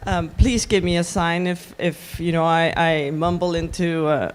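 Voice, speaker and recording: A woman from Iceland with an Icelandic accent, giving a conference talk in a halting way with many ums and restarts. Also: on the low side, steady tone. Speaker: conference speaker.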